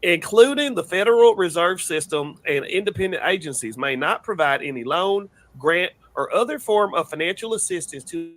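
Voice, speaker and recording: A drawling Texas lawmaker reads a bill slowly and deliberately, put on by a man. Every word is stretched out. The voice sits somewhat high. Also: fairly bright tone, poor audio. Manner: slow, drawn-out, deliberate reading.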